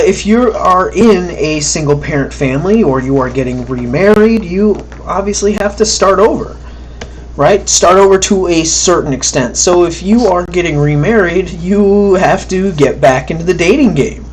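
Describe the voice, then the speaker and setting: A man's voice: sits high, expressive, normal speed, a little hiss or hum, low-quality recording. Chatty man giving a lecture. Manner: conversational, asks "right?" often, acts out quotes. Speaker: college instructor. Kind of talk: recorded lecture.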